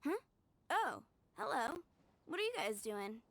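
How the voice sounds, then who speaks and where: Brief: a keen aspiring lady knight, bright-voiced and earnest. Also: high voice, very animated, unhurried, slight background noise, full character voice. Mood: eager. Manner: earnest, enthusiastic. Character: eager young woman training to be a knight. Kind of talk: video game voice acting. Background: game music.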